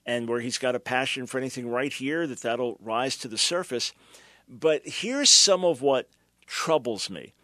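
A man's voice: medium pitch, a little slow, bright, forceful tone, dry recording. Emphatic male commentary host, dry sound. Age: older. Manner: emphatic, preacherly, mocking at times. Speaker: radio show host.

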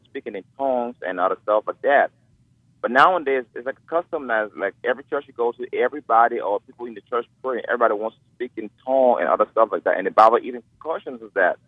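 A man from Africa calling in to a radio show, speaking hesitantly with many pauses and fillers. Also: mid-range voice, no echo. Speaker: caller. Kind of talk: call-in radio caller. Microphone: telephone. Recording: tinny phone line.

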